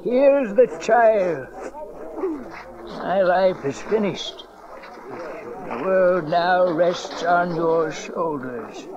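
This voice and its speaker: An actor playing a devout, frail old prophet in a dramatized audio Bible, tender and overjoyed, his wavering voice dropping into whispers. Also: a little high. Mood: exalted, solemn. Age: older. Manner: slow, emotional, reverent. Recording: muffled cassette with crowd behind.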